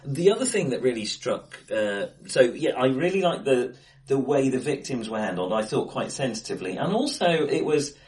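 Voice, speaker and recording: Podcast co-host: male, animated pitch, casual and searching delivery, recorded in an echoey room. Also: moderate pace. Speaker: podcast co-host reviewing films. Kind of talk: casual film discussion. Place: indoors, face to face in a reverberant room. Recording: echoey, acoustically bright room.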